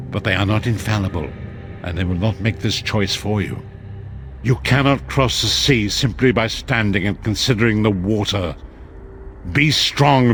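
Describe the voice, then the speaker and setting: A man's voice: lowish voice, fairly bright tone. An actor playing a wise, solemn male prophet and leader in a fantasy game, grave and exhorting, like an orator.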